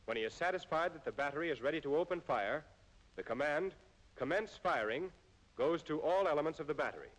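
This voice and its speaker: An American man reading scripted instructional narration in a formal, measured way, as in an old military training film. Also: a little high, expressive, low-quality recording.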